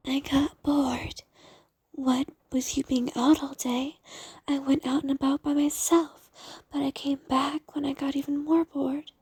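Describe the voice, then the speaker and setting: A woman voicing a curious, easily bored succubus in a whispered ASMR roleplay, breathy and casual, with an inquisitive, teasing manner. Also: high-pitched, level tone, measured pace, darkish tone, dry recording. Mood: bored, playful.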